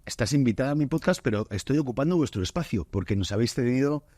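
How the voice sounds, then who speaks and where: A man from Spain hosting a podcast interview in a studio, talking in a relaxed, halting way with many fillers and restarts. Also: mid-range voice, dry recording. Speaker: podcast host. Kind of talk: casual interview chat.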